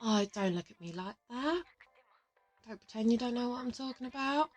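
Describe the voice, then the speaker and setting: A woman's voice: a little high, lively intonation, normal speed, soft background music, natural voice. A woman playing a confident, teasing interrogator from an assassin team in an audio roleplay, affectionate and boastful. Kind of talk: audio roleplay addressed to the listener.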